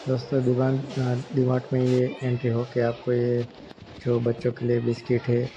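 A man from India, narrating a casual shopping vlog with hesitant, halting phrasing. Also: mid-range voice, monotone. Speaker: vlogger. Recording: dull lo-fi audio with background noise.

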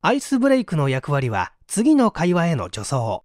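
High-pitched man reading an audiobook aloud. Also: huge pitch swings, moderate pace, a little mellow, no room sound, studio quality. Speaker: audiobook narrator.

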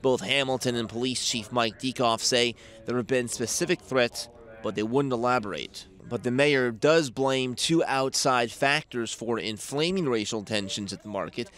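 Male news reporter, measured voice-over, mid pitch, steady. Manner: measured, even newsreader delivery.

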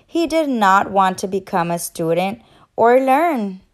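A woman's voice: medium pitch, sing-song, measured pace. A woman teacher reading and quizzing for young children in an instructional, encouraging manner. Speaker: teacher and children's story reader. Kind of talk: children's read-aloud and quiz.

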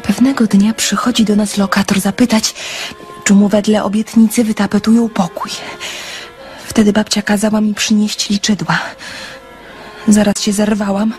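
Shy young woman confiding softly over music, acted. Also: medium pitch, flat delivery, normal speed, pauses often, close to an everyday voice. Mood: bashful. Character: shy, earnest, sensitive young woman confiding her story. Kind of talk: radio play storytelling. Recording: music under the voice. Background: instrumental music.

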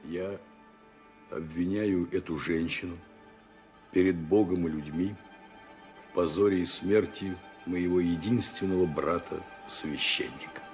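A grim executioner in a radio play, really low voice, heavy halting speech, music behind. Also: male voice, a little mellow. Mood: grim, sorrowful. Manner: solemn, halting, weighty. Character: vengeful, grim executioner mourning his brother. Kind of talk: 1990s Russian radio drama acting.

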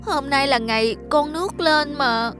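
A woman's voice: high voice, unhurried. A woman voicing a timid, crafty young male servant in a cartoon, deferential and pleading. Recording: studio voice with loud music.

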